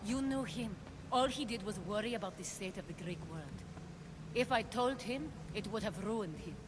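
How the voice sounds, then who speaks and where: Actress as ambitious ex-cult leader woman, deliberate, persuasive, middle pitch, video game drama, clear background noise. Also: animated, close to an everyday voice. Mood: regretful. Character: poised, ambitious female former cult leader in ancient Greece.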